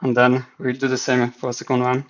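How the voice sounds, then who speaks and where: A man's voice: mid-range voice, monotone, a little fast. A Swiss man whose first language is French, speaking English with a French accent, hesitantly thinking aloud with many fillers while he sets up his live development stream. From Switzerland. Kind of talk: casual live dev stream. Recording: slightly dull lo-fi stream mic.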